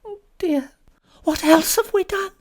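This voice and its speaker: A woman's voice: very high voice, unhurried, a little mellow, voice pushed up. A woman voicing an anxious, harried young wife who runs a guest house, polite and fretful in manner. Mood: worried.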